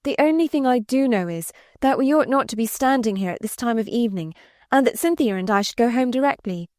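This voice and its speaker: A woman's voice: a little high, expressive, brisk, darkish tone, no room sound, clean studio sound, voice pushed up, close to an everyday voice. A woman voicing an earnest, forthright young woman in a storybook reading, direct and proper in manner. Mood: firm. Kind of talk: dramatised audiobook reading.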